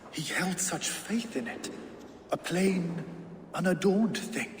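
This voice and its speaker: A male actor playing a world-weary, brooding Viking warlord in a video game, with a grave, deliberate delivery. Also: high voice. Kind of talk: dramatic video game acting. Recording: echoey reverberant space, loud background noise.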